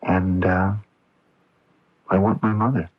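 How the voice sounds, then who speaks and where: Husky, gentle male therapist. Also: low-pitched, flat delivery, slow pace. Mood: gentle, probing. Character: gentle, probing male therapist, patient and confiding. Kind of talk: radio drama acting. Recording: dull 1980s AM radio recording.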